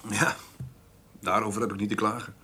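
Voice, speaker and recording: An actor playing a troubled middle-aged farmer in a radio play, husky, with hesitant, plainspoken speech that often restarts. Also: male voice, lowish voice, flat delivery, a little fast, pushed and bright, slight background noise. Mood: weary, rueful. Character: troubled farmer, rough-spoken, brooding over his mother.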